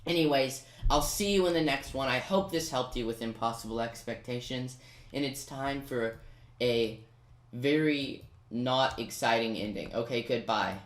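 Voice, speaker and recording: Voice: mid-range voice, moderate pace. Casual gameplay guide narrator, mid-range voice, speaks straight to the viewer, echoey room sound. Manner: informal, talks directly to the viewer. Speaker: video game commentator.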